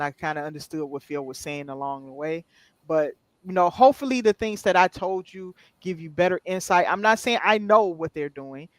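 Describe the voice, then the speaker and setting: Man, high voice, dry close sound, podcast guest, reassuring, explains things. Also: dry recording. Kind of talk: casual chat.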